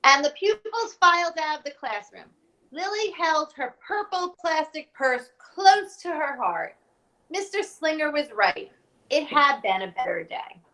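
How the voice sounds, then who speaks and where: A woman's voice: sits high, sing-song, unhurried, bright, forceful tone, rough recording. A woman on a school's staff reading a children's storybook aloud and casually discussing it.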